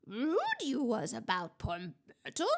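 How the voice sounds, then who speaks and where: A woman's voice: fairly high pitch, very animated, unhurried, vocal fry, heavily acted. A woman voicing a sly male poltergeist who taunts with mock sweetness and jeering bellows in a storybook. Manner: wheedling sweet talk that breaks into bellowed jeers.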